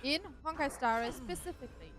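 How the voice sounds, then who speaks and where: A woman's voice: sits high, animated, brisk. A young woman with a German accent, casually explaining a game as she streams, chatty with many fillers. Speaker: gaming streamer. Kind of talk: casual gameplay commentary. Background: faint game music.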